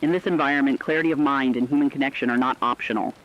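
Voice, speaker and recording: A man acting as a sober correspondent in a radio drama, mid-pitched and heard through muffled, lo-fi sound. He reads a crisis report formally and steadily, with serious weight. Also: steady tone, rapid-fire, close to an everyday voice. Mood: grave, insistent. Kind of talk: scripted emergency news report.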